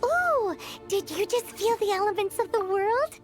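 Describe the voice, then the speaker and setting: A woman voicing a tiny, cheerful, childlike fairy guide in a video game, chirpy and squeaky. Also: expressive, a little slow, music in the background. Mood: explaining, upbeat. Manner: chirpy, refers to herself in third person. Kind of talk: video game character dialogue.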